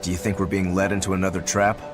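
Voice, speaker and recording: A man plays a practical seafarer in a video game. His voice is fairly low, his manner casual, dry and watchful, with faint music in the background. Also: flat delivery, fast, natural voice. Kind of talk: animated game cutscene acting.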